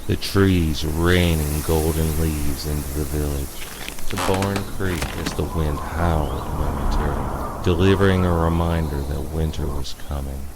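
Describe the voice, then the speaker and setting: A man narrating a scripted spooky tale in a measured, dramatic storyteller's manner. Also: extremely low pitch, fairly even intonation, noisy background. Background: music.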